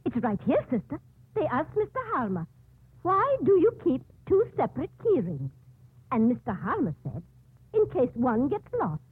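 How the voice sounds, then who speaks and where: A muffled, phone-like old radio drama recording carries a kindly nun. She is a homely, chatty woman who fusses as she talks. Her voice is very husky and swings up and down in a lively way. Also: sits high, moderate pace, full character voice. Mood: chatty, insistent. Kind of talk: 1950s radio drama acting.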